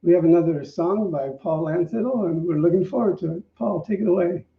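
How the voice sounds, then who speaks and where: A man with a slightly high voice speaks as a minister in an informal, conversational talk, pausing as he finds his words. The recording is echoey and a bit dull, picked up from some distance. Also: creaky. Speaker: minister and service host. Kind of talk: informal spiritual talk to a congregation.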